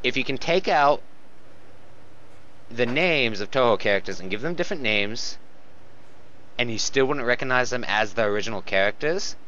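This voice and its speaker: A young man in casual fan chat, halting but opinionated, on a lo-fi voice call. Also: mid-range voice, animated, bright, forceful tone, a little hiss or hum. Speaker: co-host commentator.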